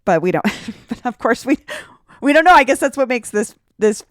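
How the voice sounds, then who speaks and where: A woman's voice: fairly high pitch, very animated, normal speed, dry recording. Woman hosting, very dry close sound. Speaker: podcast host. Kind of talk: casual conversational interview. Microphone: remote video call recording.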